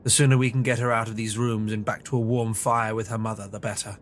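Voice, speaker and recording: An actor playing an observant, wry Victorian detective in an audio drama, with a dry, precise, theatrical delivery. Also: male voice, fairly low pitch, flat delivery, fast talker, a little hiss or hum, lightly acted. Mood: analytical, serious.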